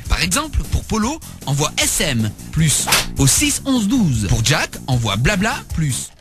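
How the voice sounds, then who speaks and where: A man's voice: fairly high pitch, wildly swooping pitch, measured pace. A man reading a parody radio advert in an exaggerated, salesman-like manner. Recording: music under the voice.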